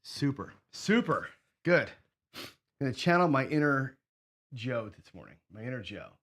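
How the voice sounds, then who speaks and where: A man hosting a craps strategy show, hoarse and sniffly, chatting casually as he thinks aloud and counts out chips and bets. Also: medium pitch, very animated, moderate pace, a bit bright. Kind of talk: casual play-along commentary.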